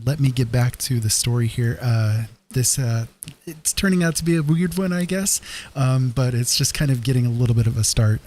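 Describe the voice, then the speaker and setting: A man's voice: on the low side, dark tone, slight background noise. A man hosting a late-night stream, talking casually and hesitantly with many fillers. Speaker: livestream host. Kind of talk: casual chat.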